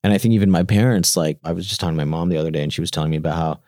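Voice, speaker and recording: Deep-voiced man, halting and animated. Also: dry recording, studio quality. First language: Gujarati. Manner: halting, many restarts and fillers, animated quoting. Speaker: startup founder, guest. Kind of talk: casual interview conversation.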